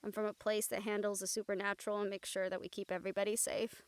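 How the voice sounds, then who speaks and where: A woman playing a wry, gentle recruiter of supernatural outcasts in an intimate audio roleplay, teasing and earnest in manner. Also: medium pitch, little pitch movement, fast, clear, resonant tone, dry recording, natural voice. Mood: wry, calm.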